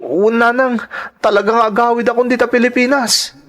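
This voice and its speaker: High-voiced Ilocano man an earnest migrant son. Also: fairly even intonation, moderate pace, fairly bright tone. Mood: earnest, reassuring. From Philippines. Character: earnest adult son, migrant factory worker abroad. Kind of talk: Ilocano radio drama acting.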